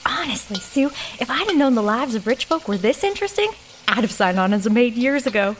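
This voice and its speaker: A woman's voice: a little high, sing-song, fairly quick, slight background noise. A woman playing a nosy, irreverent working-class maid with folksy rural American diction in an audio drama. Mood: gossipy, teasing.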